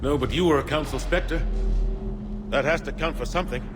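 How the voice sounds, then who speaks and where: An actor playing a grizzled older admiral in a video game, commanding and terse, urgent and resolute. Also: male voice, fairly high pitch, a little fast, lightly acted. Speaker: military commander in battle. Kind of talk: video game cinematic acting. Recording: loud music over the voice.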